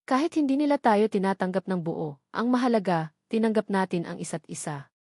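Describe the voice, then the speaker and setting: A woman reading a scripted story as narrator, in an earnest, sentimental and measured dramatic style. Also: medium pitch, animated, a little mellow, no echo, studio quality.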